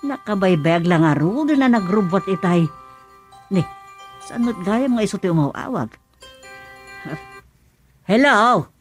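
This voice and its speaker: A woman's voice: mid-range voice, lively intonation, measured pace, pauses often, faint music behind, natural voice. An actress playing a practical, agreeable middle-aged village mother in a rural radio comedy drama. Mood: muttering.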